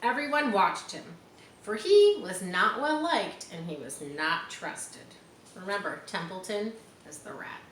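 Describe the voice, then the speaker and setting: Woman reader, expressive children's read-aloud, lively pitch, explanatory asides, echoey room, faint noise. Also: a little slow. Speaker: storybook reader.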